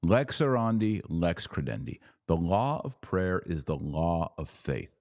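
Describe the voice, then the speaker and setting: Deep, slow-talking male podcast host. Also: very animated, darkish tone, dry recording, clean studio sound. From Texas. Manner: sardonic, emphatic, shifts pace often. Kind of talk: opinionated solo commentary.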